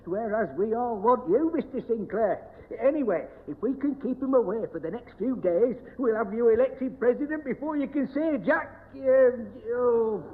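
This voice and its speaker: An actor playing a muddled, forgetful old busybody, stammering and chuckling at himself in a radio sitcom. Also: male voice, very high-pitched, full character voice. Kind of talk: broadcast radio sitcom acting before an audience. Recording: dull, noisy old radio recording, echoey hall.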